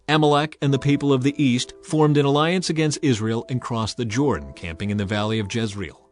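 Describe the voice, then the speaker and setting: A male narrator reads a scripted Bible story in dramatic style. His voice sits at a middle pitch, with music playing behind it.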